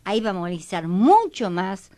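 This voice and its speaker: A woman's voice: medium pitch, big pitch swings, normal speed, dark tone. A woman talking in a rambling, repetitive way in a panel discussion. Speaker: panelist on a history programme.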